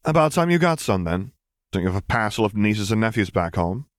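A man voicing a wry, teasing, brisk young mother who works as a pilot in a story reading. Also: lowish voice, very animated, dry recording, studio quality.

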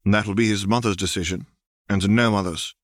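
A man reading the part of a world-weary, wry and resolute Jedi in his thirties for a storybook, in a measured, dry manner. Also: lowish voice, fairly even intonation, no room sound, natural voice. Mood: quiet, resolute.

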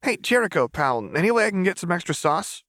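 Man putting on a high wheedling street kid. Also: big pitch swings, quick pace, a bit bright, dry recording, clean studio sound. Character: scrappy young street boy, eager and wheedling.

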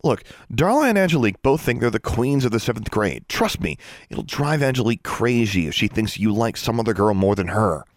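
A man's voice: fairly low pitch, lively intonation, no echo, studio quality, pitched above the performer's own voice, close to an everyday voice. A man voicing a bold, teasing young schoolgirl witch who bosses others about. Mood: bossy. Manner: brisk, teasing, bossy.

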